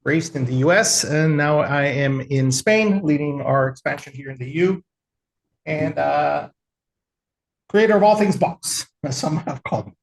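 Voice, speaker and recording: A man's voice: on the high side, unhurried, creaky, slight background noise. Man presenting a tech webinar, echoey room. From El Salvador. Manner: relaxed, conversational, frequent fillers.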